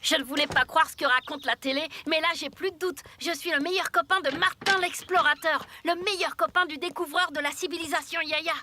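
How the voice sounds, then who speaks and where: A woman voicing an excitable, loyal schoolboy best friend in a children's cartoon, speaking colloquially with plenty of exclamation. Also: high-pitched, a little fast, few pauses, a little hiss or hum. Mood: excited, admiring. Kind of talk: French-language children's cartoon dub. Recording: music bed behind the voice.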